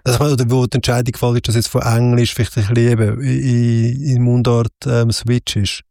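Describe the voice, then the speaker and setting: A man's voice: mid-range voice, level tone, fast talker, gravelly, a little mellow, no room sound, studio quality. A man with a Swiss German accent interviewing a musician in a casual chat, often ending his points with a questioning "oder?". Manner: chatty, ends points with "oder?".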